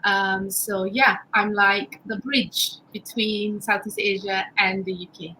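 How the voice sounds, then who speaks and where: A woman in her fifties from Malaysia, an education consultant introducing herself briskly, with a self-joking touch. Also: medium pitch, steady tone, bright, forceful tone, slight background noise, poor audio. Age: 50s.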